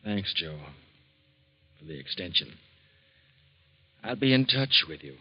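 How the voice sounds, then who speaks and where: A man's voice: deep voice, sing-song, unhurried, noisy background. An actor playing a meek, hesitant failed businessman, in old radio acting. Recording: dull old radio recording.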